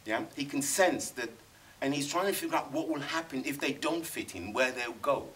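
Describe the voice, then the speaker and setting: A man's voice: medium pitch, animated, moderate pace, a bit bright. A middle-aged man on a panel in a cinema, speaking thoughtfully and emphatically, often restarting his sentences. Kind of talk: panel discussion. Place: cinema screening room with audience.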